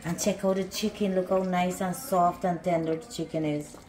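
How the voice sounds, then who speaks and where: A woman's voice: lowish voice, steady tone, normal speed, darkish tone, echoey room. A middle-aged woman chatting casually as a food vlog host, often repeating herself as she exclaims over the food. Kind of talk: casual cooking vlog chat. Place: home kitchen, indoors.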